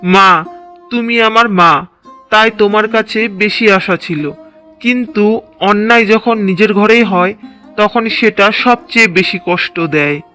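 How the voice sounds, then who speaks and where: Man acting an earnest young husband, very high-pitched. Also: takes it slowly, bright, forceful tone, faint music behind, full character voice. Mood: earnest reproach. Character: indignant, dutiful young married village son. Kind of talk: Bengali cartoon drama dialogue.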